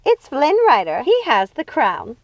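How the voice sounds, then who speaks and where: A woman voicing a bossy, excitable witch sister in a storybook, emphatic and exasperated. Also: high-pitched, unhurried, dry recording, dull audio, voice pushed up, exaggerated voice. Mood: urgent, snappy.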